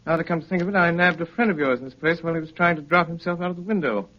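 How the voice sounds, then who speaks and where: An actor playing an urbane, sharp-witted gentleman detective in 1930s radio style, ironic and wry. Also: a little high, natural voice. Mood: teasing. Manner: brisk, ironic, elegantly wry. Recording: muffled 1930s radio recording.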